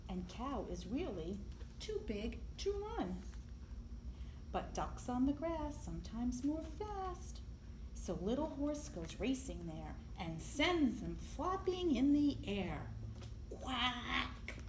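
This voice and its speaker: A woman reading a picture book aloud to young children in an expressive, deliberate storytelling manner, with emphasis on the sound words. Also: on the high side. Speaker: library storyteller. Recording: echoey room, clear background noise.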